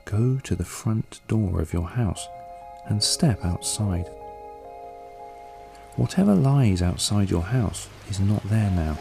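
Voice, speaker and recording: A man narrating a scripted guided meditation in a calm, unhurried, soothing way. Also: on the low side, vocal fry. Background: soft background music.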